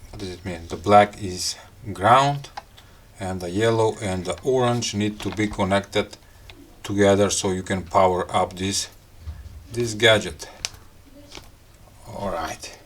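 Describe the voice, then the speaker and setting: Slow, low-voiced man, casual gadget reviewer. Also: a little hiss or hum. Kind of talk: casual unboxing and product explanation.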